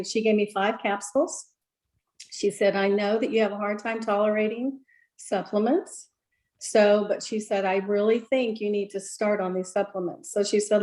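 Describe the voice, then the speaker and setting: A woman in her sixties from Louisiana, earnestly sharing a personal testimony on a video call, with many pauses and fillers. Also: medium pitch, darkish tone, low-quality recording. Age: 60s. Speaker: guest giving a testimony.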